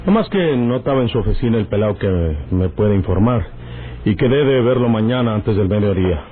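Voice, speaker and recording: An actor with a northern Mexican accent playing a folksy, domineering rural outlaw and smooth-talking lover in a radio drama. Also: male voice, lowish voice, animated, a little fast. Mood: reassuring. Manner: folksy rustic speech. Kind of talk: old-time radio drama acting. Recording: tinny, noisy old radio recording.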